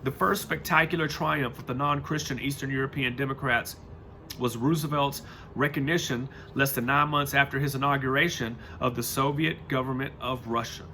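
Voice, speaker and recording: A man's voice: medium pitch, fairly even intonation, normal speed, sharp tone, a little hiss or hum. An American man reading aloud and adding casual, rambling, informal commentary in a home-made recording. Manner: rambling, informal, loses his thread. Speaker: reader and commentator.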